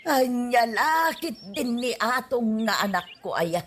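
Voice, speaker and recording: A woman playing an older, dismayed village mother in an Ilocano radio comedy drama, with a husky voice. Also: fairly high pitch, expressive, takes it slowly, a bit bright, slight background noise.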